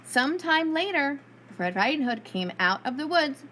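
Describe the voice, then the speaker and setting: A woman reading a storybook aloud to preschool children in an expressive storyteller's style. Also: high voice, measured pace, a little hiss or hum.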